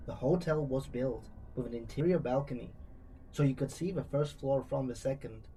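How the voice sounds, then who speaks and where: A man's voice: medium pitch, normal speed, mellow, slight background noise, poor audio. A man narrating creepy first-person true stories from a script, as a true crime storyteller.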